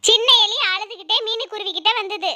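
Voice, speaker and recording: A woman's voice: very high voice, steady tone, a little fast, sharp tone. A woman narrating a scripted children's animal story.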